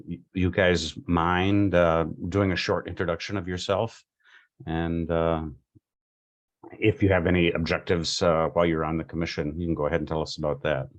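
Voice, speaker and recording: A man chairing a local government meeting in a halting, informal, polite way. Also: deep voice, dull audio. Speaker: commission chair.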